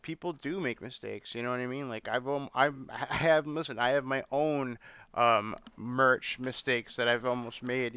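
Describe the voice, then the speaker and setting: A man's voice: medium pitch, gravelly, pushed and bright, clean tone, dry recording, highs cut off. A man hosting a casual livestream, rambling and repeating himself, swearing often as he reacts. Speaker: livestream host. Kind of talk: casual livestream chat reacting to clips and viewer comments.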